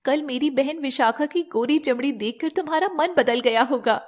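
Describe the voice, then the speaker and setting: A woman voicing a scheming young bride in disguise, dramatic and wheedling, in an animated fairy tale. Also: sits high, moderate pace, lightly acted. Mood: hurt, accusing. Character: scheming young bride posing as her sister.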